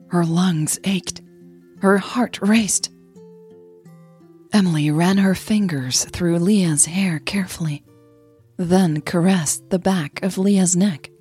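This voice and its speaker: A woman's voice: lowish voice, a little mellow. A woman who hosts and narrates a romantic fiction podcast, reading stories and speaking to her listeners.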